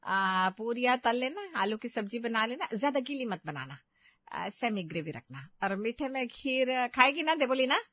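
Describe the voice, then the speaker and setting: A woman's voice: on the high side, expressive, moderate pace, no echo, dull audio, natural voice. Woman playing a proud, bossy older mother-in-law. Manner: instructing, chatty.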